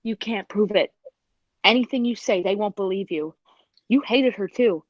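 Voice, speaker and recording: A woman playing a jealous, cold-blooded circus performer in a 1940s radio drama, deliberate and scheming, on a muffled recording. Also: mid-range voice, no echo, lightly acted. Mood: defensive, scornful.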